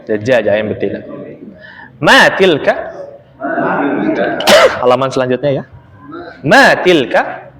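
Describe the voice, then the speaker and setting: A young man teaching an Arabic lesson, chatty and relaxed, laughing often and reading phrases slowly for students to repeat. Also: medium pitch, slight background noise. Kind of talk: casual online Arabic lesson, reading aloud for repetition. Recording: long reverberant echo.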